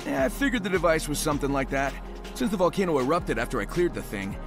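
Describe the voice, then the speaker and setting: A man's voice: a little high, sing-song, fast talker, over music. A man voicing an easygoing, friendly young hedgehog hero in a video game, casual and breezy. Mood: curious.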